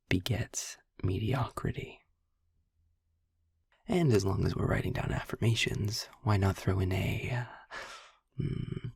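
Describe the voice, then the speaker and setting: A man playing a sarcastic, philosophical demon in an intimate audio roleplay, speaking deliberately in a husky, breathy half-whisper with a frustrated but principled air. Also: fairly low pitch, a little mellow, dry recording, clean studio sound, exaggerated voice. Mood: firm, earnest, then wry, teasing. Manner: sardonic, deliberate, ranting with pauses and sighs.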